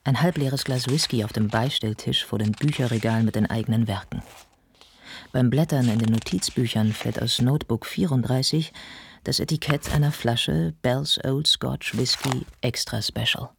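A man's voice: mid-range voice, moderate pace, creaky, slight background noise, natural voice. A man acting as a cool, observant narrator in a scripted radio drama, setting out details in clipped phrases. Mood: matter-of-fact.

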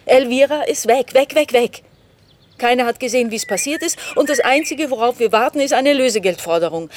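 A woman's voice: a little high, slight background noise, close to an everyday voice. An actress playing a distraught, short-tempered mother in a radio drama, speaking sharply and insistently.

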